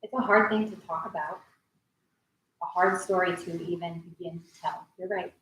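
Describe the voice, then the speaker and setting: A young actress in a staged reading playing a frank, supportive friend. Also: female voice, lowish voice, fairly even intonation, moderate pace, a bit bright, natural voice. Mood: gentle, reflective. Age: young adult. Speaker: actor. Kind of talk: staged play reading, naturalistic drama. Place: large echoey indoor room. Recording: echoey hall, distant mic, faint noise.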